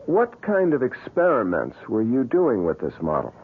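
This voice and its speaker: Stubborn curious regular joe in old radio mystery, animated delivery, thin phone-like sound. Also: male voice, medium pitch, moderate pace, breathy edge. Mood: wary. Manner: earnest, questioning, stubborn. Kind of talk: 1970s radio drama acting. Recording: lo-fi, muffled old radio recording.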